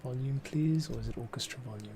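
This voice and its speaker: A man's voice: medium pitch, quick pace, vocal fry, warm tone, slight background noise. A man composer talking casually to himself as he works, muttering and counting beats aloud. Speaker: composer, host. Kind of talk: casual working commentary while scoring.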